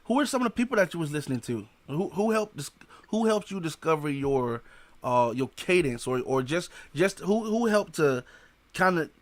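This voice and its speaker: A man's voice: a little high, lively intonation, moderate pace, no echo. A man hosting a casual podcast interview in loose, slangy talk, often adding "you know what I'm saying". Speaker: podcast host.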